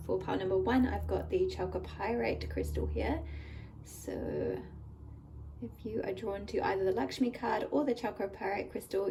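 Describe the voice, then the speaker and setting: Slightly croaky woman reading tarot. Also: a little high, recorded from a distance, a little hiss or hum, rough recording. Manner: gentle, warm address to viewers. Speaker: tarot reader and channel host. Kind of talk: pick-a-card tarot reading. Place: indoors at a table, hands-only camera.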